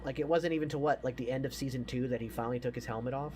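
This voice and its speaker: A man's voice: mid-range voice, fairly even intonation, very fast, a little mellow, a little hiss or hum. A man chatting casually as a podcast host, profane and opinionated.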